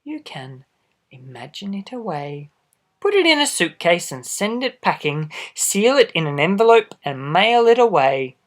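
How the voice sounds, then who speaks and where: A man reading a children's storybook aloud expressively, adding gentle asides for young listeners. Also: high voice, sing-song, a little slow. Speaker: storybook reader. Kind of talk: children's picture book read-aloud.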